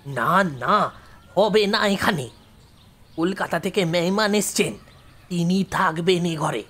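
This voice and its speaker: Woman playing a bossy husky caretaker man. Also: on the low side, takes it slowly, fairly bright tone, voice pushed down. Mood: scolding. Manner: commanding, scolding, emphatic. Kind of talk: audio story drama acting.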